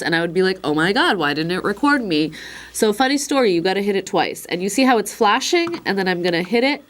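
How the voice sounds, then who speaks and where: A slightly hoarse woman giving a casual, chatty product demonstration, with frequent fillers and wry asides. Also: mid-range voice. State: a little hoarse. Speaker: instructor demonstrating a recorder.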